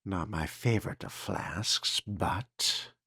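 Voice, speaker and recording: A man's voice: low voice, takes it slowly, creaky, sharp tone, breathy edge. A man role-playing through a video game in character, speaking in a wry, theatrical way with formal, old-fashioned phrasing. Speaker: let's play role-player narrating in character. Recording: music behind the voice.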